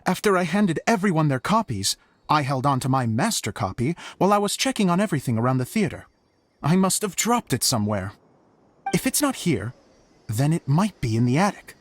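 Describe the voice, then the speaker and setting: An actor playing an eager, theatrical, self-styled stage director in a video game, effusive and chatty. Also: male voice, on the high side, big pitch swings, fairly quick, a little hiss or hum. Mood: chatty, earnest. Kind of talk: video game cutscene acting.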